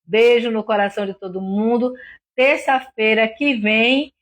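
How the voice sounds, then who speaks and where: A slightly hoarse woman with a Brazilian accent, a doctor hosting an informal live stream, explaining things didactically with frequent check-in tags. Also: on the high side, steady tone, measured pace, fairly bright tone. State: a little hoarse. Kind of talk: informal explanatory talk to online viewers.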